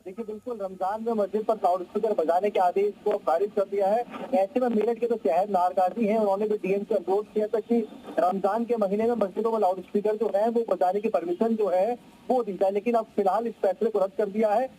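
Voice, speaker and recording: ऊँची, दबी-सी आवाज़ वाला पुरुष संवाददाता. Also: male voice, high voice, steady tone, fairly quick, warm tone, slight background noise, poor audio. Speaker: field news reporter. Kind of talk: live field report with street interviews.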